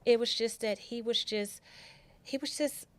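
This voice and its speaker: A woman's voice: on the high side, a little slow. American woman podcast guest, middle aged, casual storytelling, repeats bits for emphasis, acts out the dialogue. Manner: animated, re-enacts conversations, repeats phrases for emphasis. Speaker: podcast guest telling her life story. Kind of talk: personal storytelling, casual chat.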